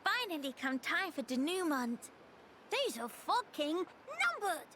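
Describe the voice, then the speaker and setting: A woman's voice: very high-pitched, very animated, measured pace, sharp tone, a little hiss or hum, heavily acted. A woman voicing a cute, childlike little creature in a video game, talking cheerfully in broken grammar. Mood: chirpy, matter-of-fact. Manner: broken grammar, third-person phrasing. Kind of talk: video game cutscene acting.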